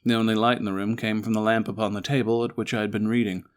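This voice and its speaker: A man narrating a classic story as a dramatic one-man performance, in a formal, measured and theatrical manner. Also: fairly low pitch, flat delivery, clear, resonant tone. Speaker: actor narrating a story as Doctor Watson.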